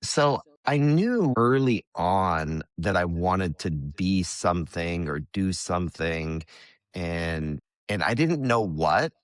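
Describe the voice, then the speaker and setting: Man, American accent, deep low voice, candid, conversational, dry close sound. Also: animated, takes it slowly, no room sound. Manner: candid, conversational, occasional swearing. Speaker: podcast guest, real estate investor. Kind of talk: casual interview talk.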